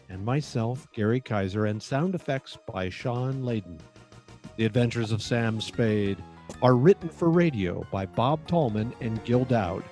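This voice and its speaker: A man serves as announcer in the manner of classic radio drama. His voice is warm and relaxed at a middle pitch, with scripted phrasing and music in the mix. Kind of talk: scripted old-time radio sign-off narration.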